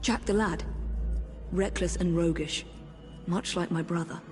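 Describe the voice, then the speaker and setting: An actress playing a determined Victorian woman detective in a video game, thinking aloud in a measured, purposeful way. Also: on the low side, fairly even intonation, soft background music, close to an everyday voice. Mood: pensive.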